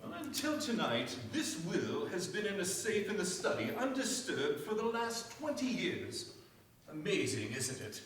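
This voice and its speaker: A man's voice: high voice, sing-song, a little slow, a bit bright, exaggerated voice. A man playing a formal, dutiful family lawyer in a staged mystery radio play. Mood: courteous. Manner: formal, proper. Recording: echoey stage from far off, faint noise.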